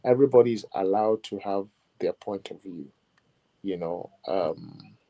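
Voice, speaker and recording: A man speaks as a musician guest in an interview. His voice sits low and sounds warm and mellow. He reflects on each point at his own pace and often adds "you know" as he goes. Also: highs cut off. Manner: reflective, measured, with many "you know" asides. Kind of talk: interview conversation.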